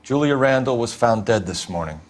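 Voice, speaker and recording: An actor playing a polite, businesslike 1940s American police detective in a video game, with a clipped, formal manner. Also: male voice, medium pitch, expressive, moderate pace, natural voice. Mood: probing, polite.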